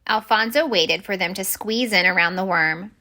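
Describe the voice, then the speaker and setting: A woman reading a children's picture book aloud as expressive story-time narration. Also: medium pitch, moderate pace.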